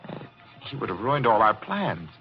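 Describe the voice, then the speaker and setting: An actor playing a deranged art dealer's clerk, a polite and obsessive killer, in 1940s radio suspense style. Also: male voice, fairly low pitch, big pitch swings, normal speed. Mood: cold menace. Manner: polite menace, theatrical. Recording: muffled, noisy old radio recording.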